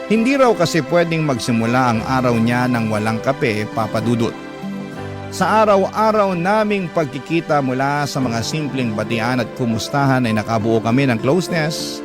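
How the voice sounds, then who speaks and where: Man, mid-pitched voice, scripted first-person storytelling, radio drama narrator, strong music bed. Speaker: drama narrator reading a letter.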